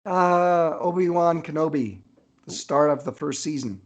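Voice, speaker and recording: A man's voice: on the high side, unhurried, lo-fi sound. A man speaking as an author guest in a casual interview.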